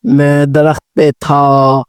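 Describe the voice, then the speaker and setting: A woman voicing an elderly male rabbit uncle, a stern village accuser in a children's story, speaking in a deliberate, declamatory way. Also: sits low, flat delivery, clear, resonant tone, no echo, studio quality, pitched below the performer's own voice. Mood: defensive.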